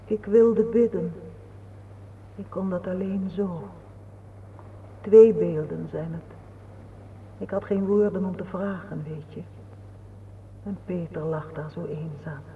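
Radio drama, narrow phone-like sound with light hiss: serene older grieving mother, gentle, consoling, unhurried. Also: on the low side, fairly even intonation. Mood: quiet grief. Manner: slow, patient, consoling. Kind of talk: solemn radio drama acting. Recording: muffled, noisy old radio play recording.

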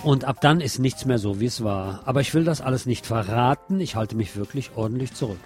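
A man's voice: mid-range voice, brisk, over music. A man giving a personal, opinionated film review in a conversational radio commentary style.

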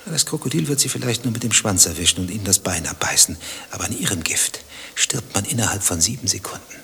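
Bright-voiced, suspicious male stranger. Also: on the low side, lively intonation, fast, creaky, a little hiss or hum, heavily acted. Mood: insinuating. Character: nosy, suspicious male stranger pestering a waiting man. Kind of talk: dialogue-driven satirical radio drama acting.

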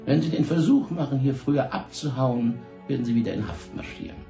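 Man acting a gravelly, menacing police official. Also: mid-range voice, brisk, mellow, echoey room, faint music behind, natural voice. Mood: threatening. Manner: curt, commanding, threatening. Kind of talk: radio drama acting.

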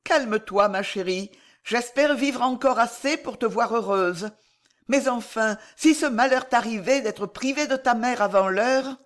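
A woman voicing a gentle, dignified noble mother in an audiobook novel, speaking solemnly and earnestly. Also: medium pitch, a little slow, voice pushed up, natural voice. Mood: tender, grave. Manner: solemn, tender, formal theatrical speech.

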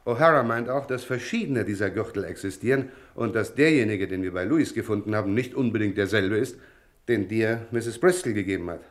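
Crime radio play: actor for male police detective, fairly low voice, methodical, brisk, explains facts plainly. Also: lightly acted. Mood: matter-of-fact. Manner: businesslike, explanatory. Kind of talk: German radio crime drama acting.

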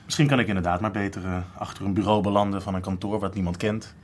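A man's voice: deep voice, fairly even intonation, fast talker, slight background noise, natural voice. An actor playing a frustrated young male student, casual, grumbling and sarcastic, in a modern radio drama. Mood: frustrated, sardonic. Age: young adult.

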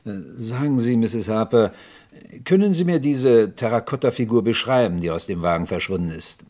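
An actor playing a courteous, methodical police inspector in a German radio crime drama, speaking in a measured, probing, formal way. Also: male voice, mid-range voice, expressive, a little mellow, natural voice. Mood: matter-of-fact, probing.

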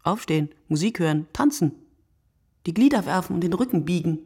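A performer reading a literary radio play monologue in short, deliberate, reflective phrases. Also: medium pitch, lively intonation, darkish tone, husky, no room sound, studio quality.

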